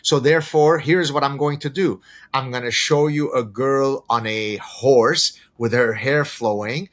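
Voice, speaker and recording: A man whose first language is Arabic, explaining ideas as a podcast guest in a deliberate, professorial way with rhetorical questions. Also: mid-range voice, fairly bright tone. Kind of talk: conversational explanation with examples.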